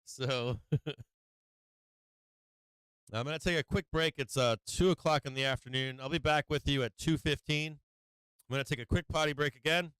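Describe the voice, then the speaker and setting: A man hosts a live trading stream with a loose, profane, filler-heavy manner. His voice is strikingly clear and smooth, though it has been wearing out this week. The sound is dry and close, with no room around it. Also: a little high, moderate pace, long pauses, fairly bright tone, no echo, low-quality recording. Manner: loose and profane, many fillers, laughs often. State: losing his voice. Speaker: stock market livestream host. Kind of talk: casual trading commentary.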